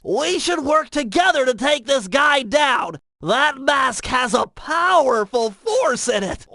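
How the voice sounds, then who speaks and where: A man voicing a nerdy, brainy young turtle strategist in an animated video game, with a thin nasal voice and precise, wordy, earnest delivery. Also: very high voice, gravelly, no room sound, exaggerated voice. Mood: determined, assertive. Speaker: mission guide in a video game.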